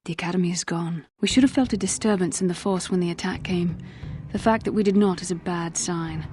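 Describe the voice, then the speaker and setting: An actress playing an earnest, solemn young Jedi warrior in a video game, with formal, grave delivery. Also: female voice, fairly low pitch, fairly quick, a little mellow, close to an everyday voice. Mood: grave, sorrowful. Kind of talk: dramatic video game acting.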